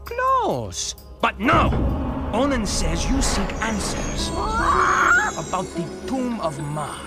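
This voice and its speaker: A grumpy talking bird from a video game. He speaks in a very high, animated voice with theatrical disdain and impatience, with music clearly behind. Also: slow, exaggerated voice. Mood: impatient. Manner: theatrical, dismissive.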